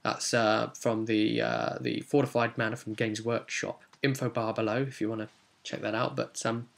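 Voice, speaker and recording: Male game master for a casual session recap: mid-pitched with a creaky, fried edge, informal and rambling. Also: level tone, normal speed. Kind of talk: casual recap of a tabletop role-playing session.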